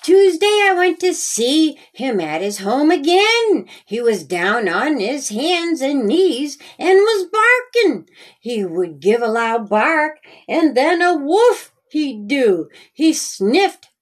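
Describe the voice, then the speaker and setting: A woman's voice: high-pitched, very animated, slow. A woman hosting a storytime and reading her own children's story aloud, speaking simply and directly to young listeners. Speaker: children's story author and reader.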